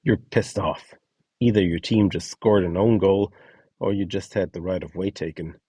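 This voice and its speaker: A man's voice: low-pitched, moderate pace, mellow, breathy edge, dry recording, clean studio sound. A man narrating a guide, reading out scripted prompts and short imagined situations.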